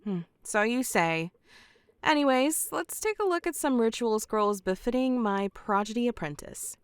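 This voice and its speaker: A woman plays a shrewd fantasy shopkeeper who teaches magic. Her voice is smooth and clean, with playful, swooping intonation, in a very dry recording. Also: a little high, animated, moderate pace, dry recording, close to an everyday voice. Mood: firm, wry. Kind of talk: medieval fantasy audio drama acting.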